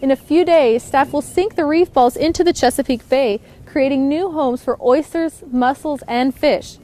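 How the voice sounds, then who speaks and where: A woman's voice: high voice, moderate pace, dark tone. A young woman reporter delivering a scripted news voice-over.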